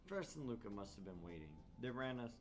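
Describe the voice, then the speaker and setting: A man's voice: mid-range voice, lively intonation, fast talker, soft background music. A man hosting a gaming video, reading a visual novel aloud and adding casual, joking commentary.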